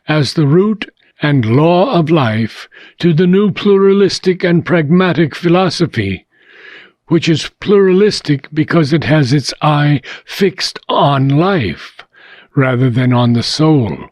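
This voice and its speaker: A man reading a philosophical book aloud in a measured, deliberate way, pausing between short phrases, with a husky voice. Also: fairly high pitch, darkish tone, no room sound. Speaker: audiobook reader.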